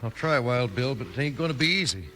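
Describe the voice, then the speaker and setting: A man's voice: medium pitch, expressive, fast talker, sharp tone. A man playing a hot-tempered young rancher in a 1950s radio western, with a folksy, defiant drawl. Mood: grudging. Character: hot-tempered young male rancher avenging his father. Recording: noisy vintage radio recording.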